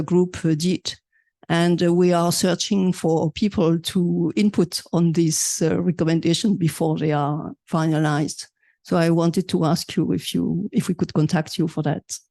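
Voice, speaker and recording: A woman giving an unscripted address at a conference session, halting and searching for words as she asks for input. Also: on the low side, dark tone, no room sound. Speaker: session presenter asking for input.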